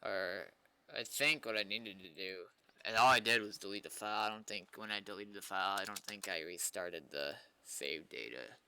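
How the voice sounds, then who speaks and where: A man's voice: low-pitched, moderate pace, sharp tone, smooth. A man with an American accent chatting casually and loosely, in a hesitant, rambling way, like a developer streaming while he works. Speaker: game developer live-streaming.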